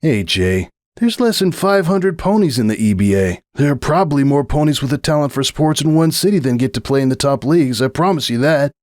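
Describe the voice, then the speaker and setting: A man's voice: medium pitch, lively intonation, fairly quick, darkish tone, dry recording, studio quality, lightly acted. A man voicing a young sporty male pony, cocky but self-doubting, grumbling and sardonic, in a dramatic storybook reading. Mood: uncertain, glum.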